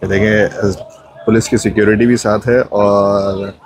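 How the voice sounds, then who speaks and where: Young male travel vlogger, relaxed chatty delivery, phone-recorded outdoors on a boat. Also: on the low side, unhurried, noisy background. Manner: chatty, mixes English phrases, repeats "जो है ना". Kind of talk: casual walkthrough vlog. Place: outdoors on a cruise boat deck.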